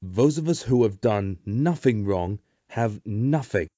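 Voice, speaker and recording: Man playing a mellow, level-headed politician. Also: on the low side, animated, slow pace, no room sound, lo-fi sound. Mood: earnest, calming. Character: calm, trusting voice-of-reason male parliamentarian. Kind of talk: audio drama acting.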